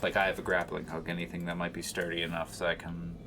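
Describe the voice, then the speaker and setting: A man's voice: low-pitched, completely flat delivery, fast talker, gravelly, over music. A man playing a tabletop game, casually asking questions and talking through his options.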